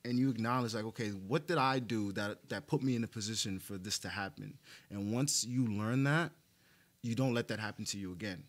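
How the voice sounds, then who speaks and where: A man's voice: on the low side, fairly quick. A man from the United States speaking casually and reflectively as an interview guest, with slangy phrasing and lots of "like" and "right?". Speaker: interview guest, media and marketing executive.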